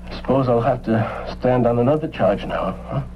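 Remorseful small-time male thief, acted, American, middle-aged, humble and hesitant, mid-pitched, on a muffled drama recording. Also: lightly acted. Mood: resigned, humble. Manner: halting, plaintive, folksy plain speech. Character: remorseful, humble ex-serviceman thief devoted to his dogs. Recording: dull old broadcast, faint noise.

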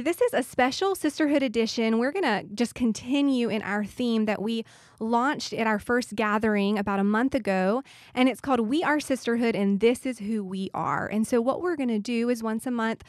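A woman's voice: on the high side, no room sound, studio quality. Upbeat woman pastor hosting. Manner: upbeat, welcoming. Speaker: pastor and host. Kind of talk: casual interview.

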